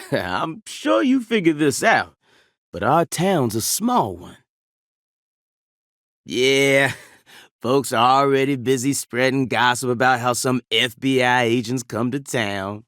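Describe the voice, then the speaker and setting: Slow-drawling Southern small-town sheriff. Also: male voice, medium pitch, animated, a bit bright, no echo, studio quality. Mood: jovial, chatty. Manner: drawling, chuckling, rambling, folksy. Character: folksy, jovial small-town male sheriff. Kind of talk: video game voice acting.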